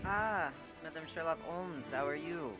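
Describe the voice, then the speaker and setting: A man's voice: a little high, a little slow, over music, natural voice. A man playing a suave, watchful French police inspector in a radio play, speaking in a courtly way.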